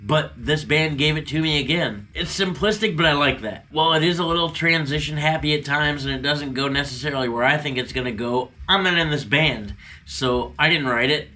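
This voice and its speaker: Male co-host with a cold, sniffly; bright, tense voice, wry humor. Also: a little high, brisk. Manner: wry, joking. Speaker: album review co-host. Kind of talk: casual album review chat.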